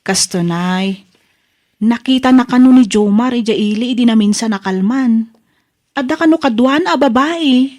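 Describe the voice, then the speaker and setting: An actress plays a young woman who is a close confidante in a drama. Her voice sits a bit high, and she talks frankly, with gossipy concern. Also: moderate pace, darkish tone, natural voice. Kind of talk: Ilocano radio-drama style acting.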